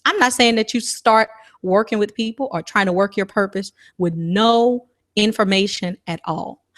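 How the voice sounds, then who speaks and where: A woman giving a motivational talk on a telephone conference call, emphatic and encouraging, often posing rhetorical questions. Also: medium pitch. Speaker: motivational speaker and author.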